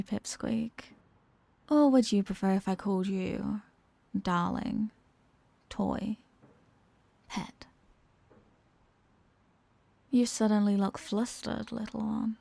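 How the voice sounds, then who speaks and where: A dominant villainess voiced by a woman purrs at her captive in an intimate audio roleplay. Her voice sits at a medium pitch with a heavy fry, teasing and seductive, often sinking toward a whisper. The sound is very dry and close. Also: warm tone, dry recording. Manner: teasing, sultry, often dropping into breathy whispers. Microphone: close microphone in a dry space.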